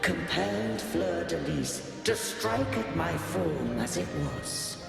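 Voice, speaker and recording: A man voicing an ancient, godlike being in a fantasy game, solemn and weary, with archaic, stately and measured speech. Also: on the high side, huge pitch swings, gravelly, exaggerated voice. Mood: grave, reflective. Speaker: game character voice. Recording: cavernous echoing reverb with music behind.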